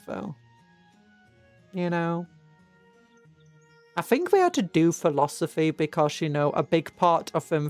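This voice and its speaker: A British livestream host chatting casually in a rambling, hesitant way, often trailing off and restarting. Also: medium pitch. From Britain. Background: music.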